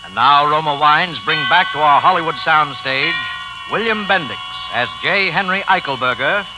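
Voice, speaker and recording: A male announcer introduces a 1940s radio drama with formal, theatrical weight. His voice is on the high side. Prominent music plays under him on a vintage broadcast recording. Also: expressive, moderate pace, low-quality recording. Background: loud music.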